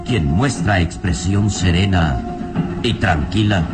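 A man's voice: sits low, slow pace. A man giving dramatic scripted narration for a radio serial in a theatrical, suspenseful manner. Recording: loud music behind old radio serial. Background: dramatic music.

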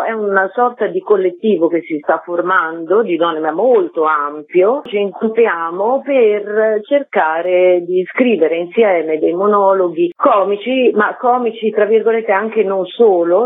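A woman's voice: lowish voice, husky, no echo. Chatty woman on a phone line. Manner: lively, chatty. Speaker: comedy project creator. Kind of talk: radio interview. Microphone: phone call. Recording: tinny phone line, dry.

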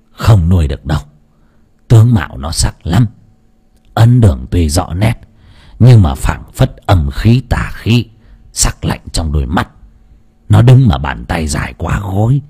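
A man's voice: dark tone, breathy edge, full character voice. Man doing a grave, muttering village fortune-teller. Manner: low muttering, whispered asides.

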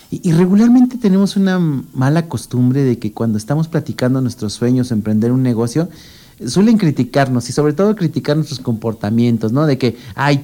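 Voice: medium pitch, mellow. A radio host interviewing a guest, asking long, encouraging, rambling questions.

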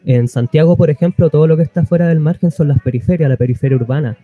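A man with a Chilean accent talking as a musician guest in a casual interview, halting and colloquial. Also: fairly high pitch, dry recording. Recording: dull lo-fi sound with music behind.

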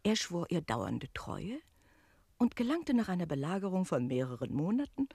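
A woman's voice: fairly low pitch, moderate pace, slightly rough, dry recording, clean studio sound, heavily acted. An actress playing a harried, talkative female innkeeper who tells a tale theatrically in a radio play. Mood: absorbed in telling. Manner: expressive, switching between narrating and brusque replies.

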